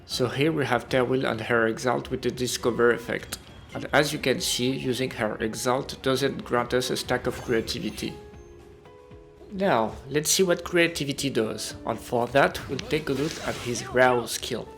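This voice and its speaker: A man presents a video game review in a scripted voice-over. His voice sits at an average pitch for a man. Soft music plays underneath as he explains things as a reviewer. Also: normal speed, gravelly.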